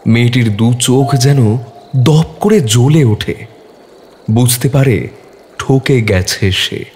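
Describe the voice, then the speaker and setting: A man's voice: mid-range voice, slow, a little mellow, slight background noise. A man narrating a scripted horror story dramatically, in short, suspenseful phrases.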